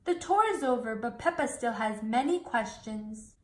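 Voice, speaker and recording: Clear storybook-reading woman, fairly high voice. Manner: slow, clear and expressive. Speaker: storybook reader. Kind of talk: reading a children's storybook aloud.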